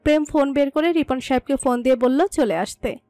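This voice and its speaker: A woman narrates a scripted love story in a high-pitched voice. The recording is dry, with soft music underneath. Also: brisk, dry recording.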